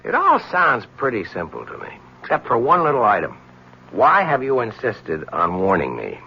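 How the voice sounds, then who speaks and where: A man's voice: mid-range voice, huge pitch swings, normal speed, creaky, bright, forceful tone, a little hiss or hum, heavily acted. An American actor playing a wry, punchy, smitten but skeptical male newspaper reporter in a 1970s radio drama. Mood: puzzled, pressing. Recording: muffled old radio broadcast recording.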